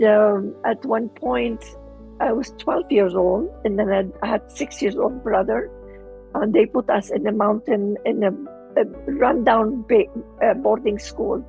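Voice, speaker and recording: A middle-aged woman with a Lebanese accent, telling her personal story as an interview guest, halting as she searches for words. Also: on the high side, gravelly, dark tone, low-quality recording.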